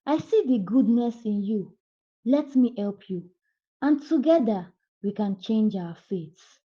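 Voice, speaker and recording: A woman's voice: on the high side, animated, slow pace, muffled recording. A woman voicing an earnest, defiant young stepsister in a folktale. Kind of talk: folktale character voice.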